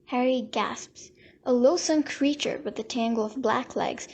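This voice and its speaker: Husky, higher-voiced woman narrator. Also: a little slow, darkish tone. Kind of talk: scripted dramatic narration.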